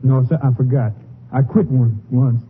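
An actor playing a frightened, deferential farmhand held prisoner in a 1950s radio western, folksy, with a Southern US accent. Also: male voice, mid-range voice, normal speed, phone-quality sound, a little hiss or hum, lightly acted. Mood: pleading. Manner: humble, folksy, respectful. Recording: thin, band-limited 1950s radio recording.

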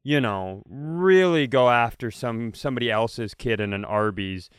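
Comedy podcast co-host, male, fast riffing banter, resonant voice, dead-dry mic. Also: medium pitch, clear, resonant tone, no echo. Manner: rapid riffing, halting restarts.

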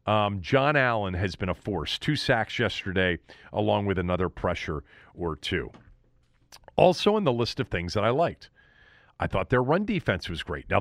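A male sports host talks through a game recap in a conversational, opinionated style. His pitch is mid-range but swings widely as he stresses his points. The sound is dry, with very little room in it. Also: fairly bright tone, no room sound. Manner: opinionated, emphatic.

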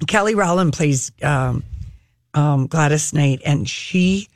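A woman's voice: deep voice, slow pace, dry recording, studio quality. An enthusiastic woman hosting a casual talk show, chatting about television.

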